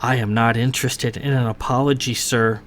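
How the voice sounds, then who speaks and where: A man's voice: medium pitch, expressive, unhurried, lightly acted. A man voicing a proud, cold young noblewoman who speaks in a formal, stern, clipped way.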